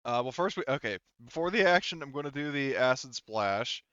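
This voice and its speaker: A man's voice: medium pitch, lively intonation, a little fast, a bit bright, smooth, no room sound, highs cut off. A man acting as game master, casually narrating the action and rules of a tabletop roleplaying game.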